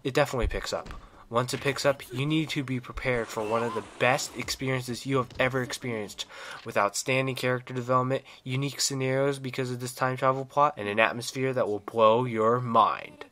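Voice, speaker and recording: Male game reviewer, medium pitch, delivering scripted, opinionated commentary with a fan's enthusiasm. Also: brisk, a bit bright, slight background noise.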